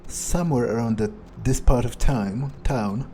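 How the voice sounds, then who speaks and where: Slow-talking man musing in character. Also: medium pitch, warm tone, slight background noise. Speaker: let's player role-playing a vampire character. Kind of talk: in-character gameplay commentary, thinking aloud.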